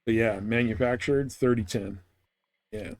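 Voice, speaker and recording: A man with an average-pitched voice talks as a live game streamer. He is informal and rambling, explaining things in a loose, conversational way. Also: unhurried. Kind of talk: casual live stream gameplay chat.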